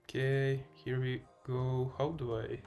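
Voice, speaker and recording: A man's voice: mid-range voice, level tone, slow, a little mellow, faint music behind. A man from Ukraine with a Ukrainian accent, giving casual live game commentary and chatting to viewers in a hesitant, thinking-aloud way. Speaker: live streamer playing chess.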